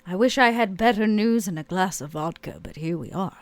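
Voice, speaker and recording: A woman playing a haughty, world-weary vampire club owner in a tabletop game, speaking in a measured, dry way. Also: mid-range voice, lively intonation, no room sound, close to an everyday voice. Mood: weary, bitter.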